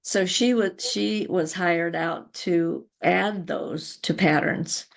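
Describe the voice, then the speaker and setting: Older woman with a creaky, low-set voice. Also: slow pace. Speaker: interview guest, crochet guild founder. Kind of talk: casual interview recollection.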